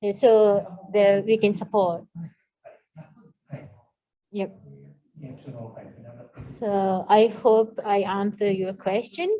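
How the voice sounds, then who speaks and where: A woman moderating a panel, speaking unhurriedly with frequent "uh" pauses. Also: medium pitch, little pitch movement. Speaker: event moderator. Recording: dull lo-fi sound.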